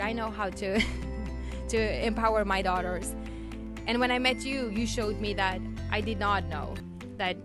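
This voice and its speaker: A woman speaks off the cuff about her daughters, halting and restarting as she goes. Her voice is at an average female pitch, and clear music plays underneath. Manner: halting, chatty, lots of restarts. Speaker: mother giving a testimonial. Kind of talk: unscripted testimonial. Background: background music.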